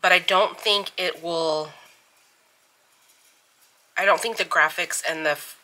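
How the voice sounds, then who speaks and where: A woman's voice: lowish voice, steady tone, slow, sharp tone, low-quality recording. A middle-aged woman with sniffly allergies, chatting casually as the host of a planner channel, rambling with lots of ums and giggles. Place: home craft desk. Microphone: overhead camera on desk, hands only.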